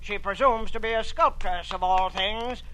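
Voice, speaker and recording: A man's voice: high-pitched, normal speed, sharp tone, slight background noise, low-quality recording. An actor playing a bitter, sickly rich old man with a weak heart, who talks in a curt, disdainful, imperious way, in 1940s radio drama style.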